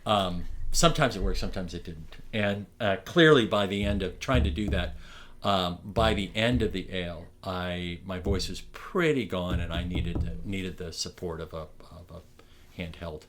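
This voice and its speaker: A man's voice: deep voice, moderate pace, slight background noise. A middle-aged man from Ontario, Canada, recalling his years as a morris dance barker in a rambling interview, with many restarts and fillers. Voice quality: loud projecting voice. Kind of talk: reminiscing oral history interview.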